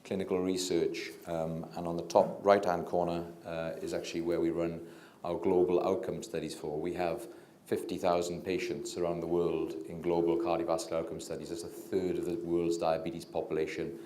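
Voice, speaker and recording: Welsh guy, middle-aged, deep dark voice, giving a talk on a handheld mic, lots of ums, echoey room. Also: little pitch movement, normal speed, a little hiss or hum. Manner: hesitant, many fillers and restarts; low-talking. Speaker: physician and researcher giving a public talk. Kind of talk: public lecture. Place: lecture room with audience. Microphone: handheld microphone.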